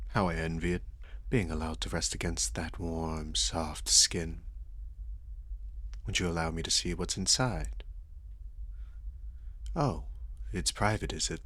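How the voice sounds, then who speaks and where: A courtly, predatory male vampire, acted by a man, speaks very low and husky, close and dry. His ASMR roleplay manner is suave and teasing, with formal politeness laid over menace. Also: a bit bright, no room sound. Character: sadistic, seductive, courtly male vampire lord. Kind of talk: ASMR roleplay, slow intimate dramatic delivery.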